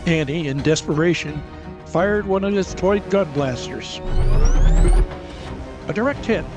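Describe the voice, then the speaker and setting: A man's voice: a little high, unhurried. A man giving dramatic, scripted narration for a fantasy audio drama. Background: music.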